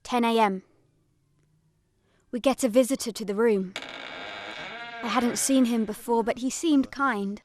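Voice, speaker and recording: A woman's voice: fairly high pitch, a little slow, long pauses, a little mellow, lightly acted. A woman playing a young girl survivor, giving dramatised first-person narration of an attack. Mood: sombre recounting.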